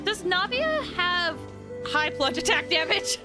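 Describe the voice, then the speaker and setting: A woman game streamer with a high, lively voice, chatting casually to her viewers. She is bubbly and expressive, thinking aloud over clear game music. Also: slow. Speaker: game livestreamer. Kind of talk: casual gameplay chat with viewers.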